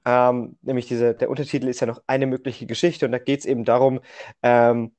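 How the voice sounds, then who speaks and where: A young man co-hosting a podcast in casual conversation, telling things in a halting way and often correcting himself. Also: medium pitch, little pitch movement, darkish tone. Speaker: podcast co-host. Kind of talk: casual chat.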